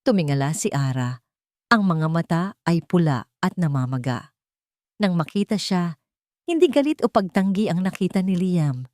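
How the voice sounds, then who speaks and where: Expressive low-voiced Filipino woman narrating a story. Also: moderate pace, no room sound, studio quality. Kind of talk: dramatic scripted narration of a romance story.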